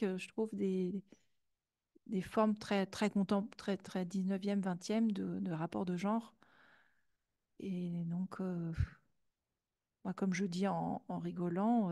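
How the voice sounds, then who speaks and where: A woman's voice: medium pitch, warm tone, no echo, clean studio sound. A French woman speaking at a conference, thinking aloud with many fillers, restarts and repeated words. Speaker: guest speaker. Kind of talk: conference talk and Q&A.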